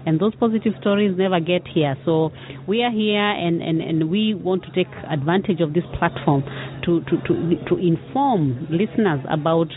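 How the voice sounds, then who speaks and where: Kenyan tourism rep, woman, earnest, stop-start delivery, tinny phone-line sound. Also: on the low side. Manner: earnest, halting, many fillers and repeated words. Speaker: tourism board representative. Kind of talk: radio interview answers. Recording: phone-like narrow band.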